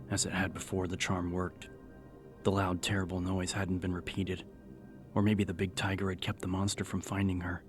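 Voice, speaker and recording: A man narrating a scripted horror audiobook in a measured, serious storytelling manner. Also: sits low. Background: music.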